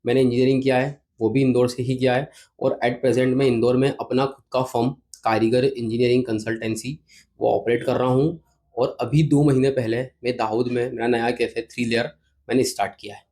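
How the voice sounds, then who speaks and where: An Indian-accented man speaks as an interview guest in a mellow, mid-pitched voice. His delivery is conversational and explanatory, with polite address to the interviewer. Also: little pitch movement, a little fast. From Indore, India. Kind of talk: conversational interview answers mixing Hindi and English.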